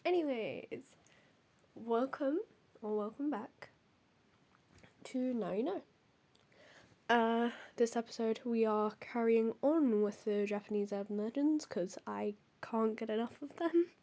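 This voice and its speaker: A woman's voice: on the high side, slow pace, mellow. A young woman with a cold and a stuffy nose, hosting and reading out folklore notes, hesitant with many ums and apologies. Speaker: host.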